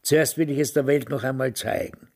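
An actor playing a nostalgic, muddled old former military man in a radio drama, grumbling in halting, broken phrases. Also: mid-range voice, fairly even intonation, lightly acted. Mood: wistful, brooding. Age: older. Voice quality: raspy, gravelly.

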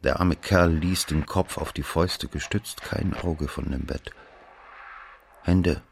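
A man acting a grave, insistent examining magistrate who narrates and questions in a formal, measured way in a radio drama. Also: very deep voice, vocal fry, slight background noise, full character voice. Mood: somber narrating. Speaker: narrator and lead actor.

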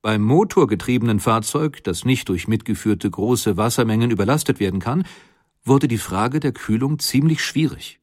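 A man playing a methodical inventor who recounts his technical work in a measured, matter-of-fact way, as in a scripted memoir. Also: mid-range voice, lively intonation, no echo, clean studio sound, close to an everyday voice. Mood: sober, explanatory. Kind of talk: scripted first-person memoir reading.

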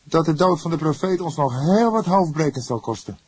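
A man acts out a young police detective from an audiobook, his voice pushed high and rough at the edges. He is hot-headed and indignant, stressing his words with agitated energy. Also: animated, normal speed, a little mellow, no echo. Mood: exasperated. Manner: agitated, emphatic. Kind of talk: audiobook character voice.

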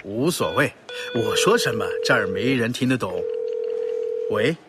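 An actor playing a Chinese businessman on the phone in a radio play, relaxed and offhand. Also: mid-range voice, a little slow, heavy background noise, close to an everyday voice.

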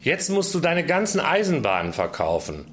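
A man's voice: on the high side, huge pitch swings, normal speed, fairly bright tone. A man giving a casual personal testimony, recollecting his own experiences in a congregation. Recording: noisy location recording with ambience.

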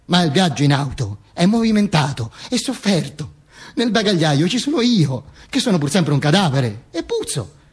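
High male voice, theatrical dead dictator played by a man, ironic, emphatic, deliberate pauses, radio theatre monologue. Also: very animated. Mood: wry, dramatic. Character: dead Mussolini telling his posthumous autobiography, theatrical and ironic.

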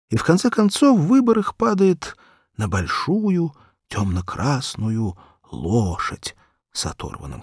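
Man narrating a children's story expressively, wide-ranging pitch. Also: slightly rough, dry recording, clean studio sound. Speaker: audiobook narrator.

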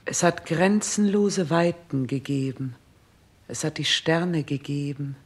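An actress in a 1960s German radio play playing a stern, cold queen with severe, measured declamation. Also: sits low, slightly rough, full character voice. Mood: solemn, insistent.

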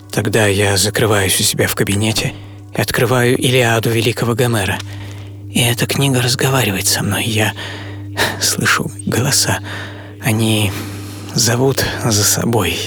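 An actor playing an obsessive middle-aged entrepreneur in a dramatic first-person radio monologue, speaking theatrically with pauses and half-whispered phrases. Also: male voice, mid-range voice, a bit bright, lightly acted. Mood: reflective. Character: obsessive middle-aged entrepreneur and treasure hunter recalling boyhood. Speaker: author and performer of a radio programme. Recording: music under studio voice.

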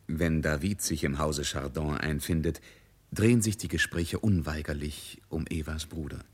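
Sober literary narrator for a radio play, extremely deep, husky voice. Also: male voice, moderate pace. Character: sober storyteller narrating a novel.

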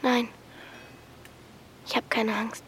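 A young woman playing an anxious thirteen-year-old girl in a wartime radio drama, speaking softly and intimately, full of questions. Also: on the high side, level tone, slow pace, slight background noise. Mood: helpless, uneasy. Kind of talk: naturalistic radio drama acting.

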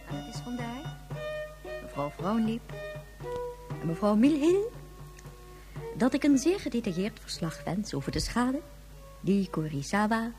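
A woman's voice: a little high, darkish tone. A woman playing a stern, imperious president who gives formal, commanding orders in a Dutch science fiction radio play. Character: stern, imperious female president of a moon government.

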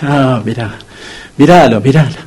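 An Argentine man playing a gloomy, soft-hearted dog owner in a comic radio drama, colloquial and repeating his words. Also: mid-range voice, a little slow, husky. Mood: mournful, resigned. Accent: Argentine Rioplatense. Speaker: radio comedy actor.

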